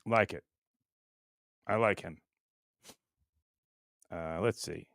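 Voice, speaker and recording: A middle-aged man hosting a livestream with casual, rambling chat, blunt and crude in his joking, going between muttering and loud bursts. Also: deep voice, very slow, creaky, no room sound, clean studio sound. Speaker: livestream show host.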